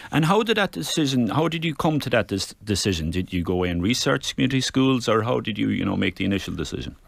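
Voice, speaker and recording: Brisk male podcast host. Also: lowish voice, very animated, gravelly. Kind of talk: interview.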